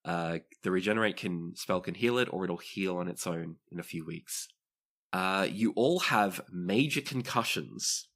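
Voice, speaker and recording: A man with a low voice acts as game master for a tabletop roleplaying game. He narrates scenes and explains the rules to his players. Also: measured pace, fairly bright tone.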